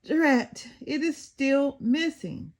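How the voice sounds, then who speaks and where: A woman voicing an excitable, fretful and earnest male dinosaur detective in a children's storybook, exclamatory and singsong. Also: high voice, extremely slow, voice pushed up. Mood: glum, frustrated.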